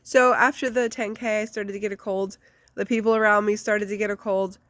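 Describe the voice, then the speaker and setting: A woman talks straight to her viewers in an unpolished, candid way, with plenty of ums and likes. Her voice is stuffy and congested, still recovering from the flu, at an ordinary middle pitch. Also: flat delivery, fairly quick, a bit bright, no echo. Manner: chatty, candid, with frequent fillers. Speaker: vlogger. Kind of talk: casual personal life update.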